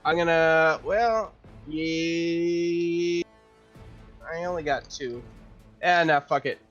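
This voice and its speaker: A man playing a tabletop role-playing game, chatting casually and thinking out loud with some swearing. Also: on the high side, takes it slowly, lo-fi sound, soft background music.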